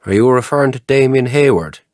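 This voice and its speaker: An actor playing a stern, blunt, intimidating police detective in an audio drama. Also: male voice, medium pitch, expressive, a little fast, a little mellow, natural voice. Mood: stern, probing. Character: stern, unsavoury, intimidating male detective constable.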